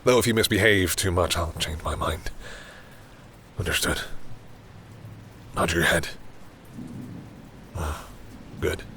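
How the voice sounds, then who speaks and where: Deep-voiced smug vampire predator played by a man. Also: slow, pauses often, sharp tone, a little hiss or hum, full character voice. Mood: commanding, menacing. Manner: condescending, commanding, breathy asides to himself. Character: confident male vampire predator, smug and coolly menacing. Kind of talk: intimate audio roleplay, acted monologue to the listener.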